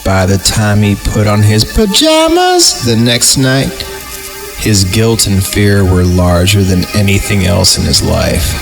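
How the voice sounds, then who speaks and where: A man hosting a horror story podcast, reading deliberately and breaking off for irreverent comic asides. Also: lowish voice, big pitch swings, creaky. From Fresno, United States. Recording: music bed behind the voice. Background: music.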